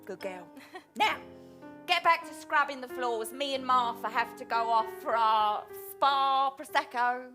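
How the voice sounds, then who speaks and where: A woman's voice: high voice, unhurried, bright, forceful tone, soft background music. A woman playing a vain, nasty, domineering mother in an improvised pantomime, gloating and falsely affectionate in a theatrical way. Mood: bossy.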